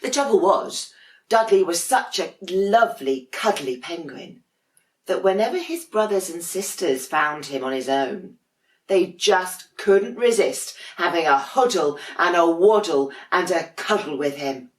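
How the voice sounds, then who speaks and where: A woman, a teacher, reading a children's storybook expressively with dramatic pauses in an echoey room. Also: on the low side, animated.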